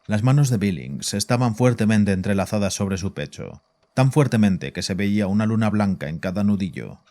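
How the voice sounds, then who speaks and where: An actor narrating a horror audio drama and playing its composed psychiatrist, measured and grave in a deep, dark voice. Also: male voice, animated. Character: composed, probing male psychiatrist who also narrates. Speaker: narrator and doctor.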